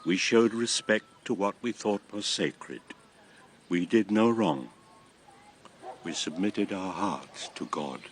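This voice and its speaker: A man's voice: sits low, takes it slowly. An actor playing an old former king and grandfather, a solemn, impassioned convert to a god, in a classical radio drama. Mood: weary, earnest. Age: old, past retirement. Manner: solemn, impassioned, theatrical delivery.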